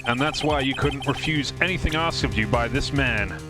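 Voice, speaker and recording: Young male defense lawyer done by a man, mid-pitched, casual and probing, comic game dub with music behind. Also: moderate pace, close to an everyday voice. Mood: accusing. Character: cheeky, earnest young male defense attorney. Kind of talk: comedic live fan dub of a video game.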